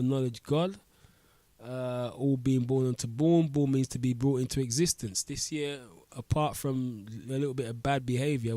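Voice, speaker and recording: Blocked-up radio host, dry studio. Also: male voice, mid-range voice, mellow, dry recording. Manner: loose, rambling, street slang. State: blocked-up nose. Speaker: radio talk show host. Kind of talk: casual radio chat. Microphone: studio mic.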